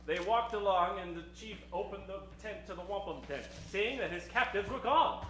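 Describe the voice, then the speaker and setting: A man's voice: sits high, moderate pace, fairly bright tone, slight background noise. A man hosting and narrating an improvised old-time radio show with theatrical storytelling. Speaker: host and narrator. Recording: echoey live stage, keyboard music behind. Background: keyboard music.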